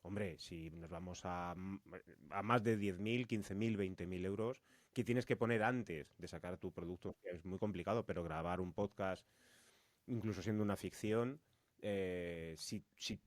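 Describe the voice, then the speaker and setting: A man's voice: sits low, unhurried, no room sound. A man with an accent from Spain co-hosting a podcast, explaining points conversationally and with emphasis. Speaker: podcast host.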